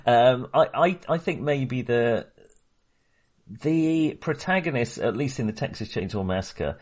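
A man's voice: medium pitch, lively intonation, measured pace, a little mellow. A man co-hosting a casual film discussion, giving his opinions bluntly and emphatically. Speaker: film podcast co-host.

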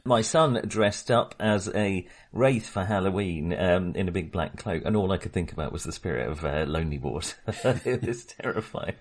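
A man's voice: low voice, animated, moderate pace, hardly any pauses. A middle-aged man co-hosting a casual film discussion, talking in a wry, hesitant, self-correcting way. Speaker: film podcast co-host.

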